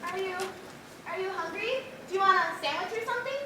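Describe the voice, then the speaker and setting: A teenage girl acting an earnest, grieving young wife with halting melodrama in a high school stage comedy. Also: bright, forceful tone, exaggerated voice. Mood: anguished. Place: large theater stage, indoors. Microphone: far camera. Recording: very echoey, noisy hall from far off.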